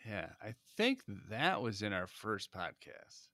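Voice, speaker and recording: Laughing man, lively podcast co-host. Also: fairly low pitch, takes it slowly, no room sound, clean studio sound. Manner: laughs often, animated, bright. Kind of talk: casual chat.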